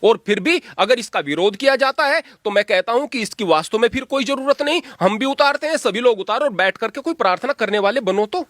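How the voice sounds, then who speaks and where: A man's voice: extremely high pitch, animated, a little fast, hardly any pauses, fairly bright tone, no room sound, studio quality. A man giving his opinions in an interview like a preacher, emphatic and exhorting, repeating phrases for stress.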